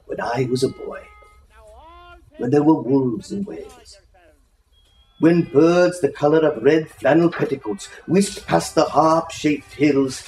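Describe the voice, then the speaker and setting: A man giving a theatrical, expressive literary reading, savouring the phrases as a storyteller. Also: on the high side, slow, soft background music. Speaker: reader, storyteller. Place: live reading in an indoor shop. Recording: echoey room with faint background noise.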